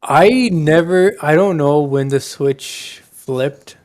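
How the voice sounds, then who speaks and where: A man's voice: fairly high pitch, slow, a little mellow. A man co-hosting a podcast, talking politics casually and emphatically with plenty of slang. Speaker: podcast co-host.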